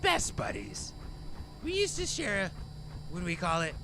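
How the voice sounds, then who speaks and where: An actor playing a menacing, theatrical rich heir who swings between sweetness and mockery in an audio drama, recorded with amateur noise. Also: male voice, sits high, huge pitch swings, moderate pace, gravelly, music in the background, exaggerated voice. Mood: gleefully sinister. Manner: mocking, grandiose, theatrical.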